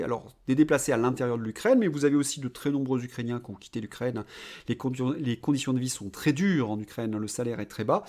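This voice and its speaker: A man talking straight to his viewers in an insistent, explaining way. His voice sits a little high for a man. He restarts phrases and keeps adding small tag words as he presses his point. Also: darkish tone. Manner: insistent, explaining, many "hein" tags and restarts. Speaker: political commentator. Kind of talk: spoken monologue addressed to viewers.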